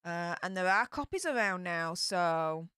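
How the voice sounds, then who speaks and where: A woman's voice: lowish voice, animated, measured pace, bright, forceful tone, clear, resonant tone, no echo, studio quality. A woman with a Yorkshire accent hosting a casual livestream, reading viewer comments aloud and answering them in a chatty way with broad local slang. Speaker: livestream host reading chat. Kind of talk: casual live chat.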